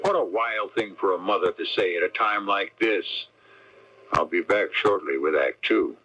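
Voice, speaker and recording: Forceful, theatrical low-voiced male mystery narrator. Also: moderate pace, slightly rough. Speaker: host and narrator. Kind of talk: scripted radio mystery narration. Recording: dull, noisy vintage radio broadcast.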